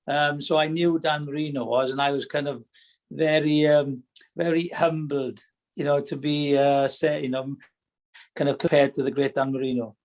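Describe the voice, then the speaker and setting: This is a middle-aged man with a Welsh accent and a voice pitched a little high. He speaks as a podcast guest in relaxed, reflective chat. He often hesitates and restarts mid-sentence. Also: moderate pace. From Wales.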